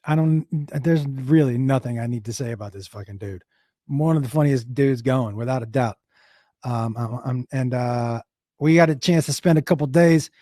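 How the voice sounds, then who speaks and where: A man in his fifties from Western Massachusetts hosting a casual chat over a video call, his voice hoarse, often stammering and restarting and laughing easily. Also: a little high, warm tone, no echo, clean studio sound. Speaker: host.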